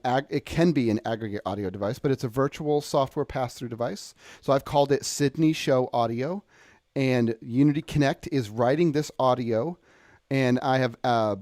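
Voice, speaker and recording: A man walks through a technical demonstration in an informal, explanatory style. His delivery is unpolished and a little apologetic, as if thinking aloud. He has a middling pitch, and the sound is close and dry, with very little room. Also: a little mellow, dry recording. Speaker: presenter demonstrating audio software. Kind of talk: informal tutorial walkthrough.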